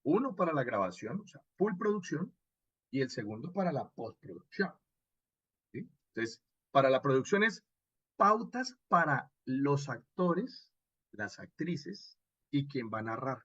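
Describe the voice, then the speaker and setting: A man with a husky, mid-pitched voice teaches in an informal online lecture. He is expressive and didactic, punctuating points with short check questions. The sound is dry and somewhat dull, like a lo-fi online session. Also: unhurried, no room sound. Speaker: radio drama instructor.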